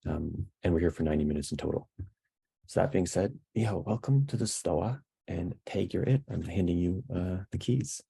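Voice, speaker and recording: A man in his thirties hosting an online talk over a video call, introducing the session in a measured, halting way. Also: low voice, creaky, dark tone, slightly rough. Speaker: host of an online talk series. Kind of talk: casual scripted introduction. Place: small room at home, indoors. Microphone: video call, laptop at arm's length.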